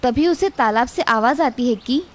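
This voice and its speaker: A woman narrating an animated children's story in a steady, clear storytelling manner. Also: fairly high pitch, normal speed.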